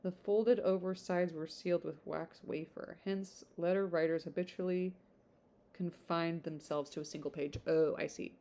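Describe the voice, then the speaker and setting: A woman's voice: on the low side, steady tone, moderate pace, creaky, dark tone. A woman reading a classic novel aloud as a podcast host, with chatty, amused asides. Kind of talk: audiobook-style reading with casual asides.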